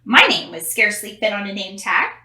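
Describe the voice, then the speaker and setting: A woman's voice: mid-range voice, lively intonation, a little fast, bright, forceful tone. A woman voicing a kindly, proud female music teacher in a children's storybook, with grand, emphatic delivery. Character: warm, dreamy female music teacher, proud of flower name. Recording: roomy home camera recording.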